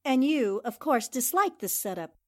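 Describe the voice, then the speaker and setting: Inquisitive, animated lady reporter, played by a woman. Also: fairly high pitch, moderate pace. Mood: probing. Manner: articulate, probing. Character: inquisitive, self-assured woman newspaper reporter playing detective. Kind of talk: 1940s radio drama acting.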